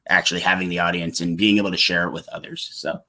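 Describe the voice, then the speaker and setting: Male podcaster with a fairly low voice, informal and enthusiastic, talking games with a peer. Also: level tone, fast talker, pushed and bright. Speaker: podcast host. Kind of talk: casual podcast chat about video games.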